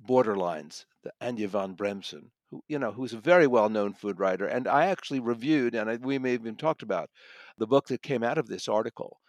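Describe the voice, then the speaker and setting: A man talking conversationally about books as a reviewer, thoughtful and halting, often repeating a word as he goes. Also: on the low side, no echo. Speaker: audiobook reviewer and guest critic. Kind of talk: conversational book discussion.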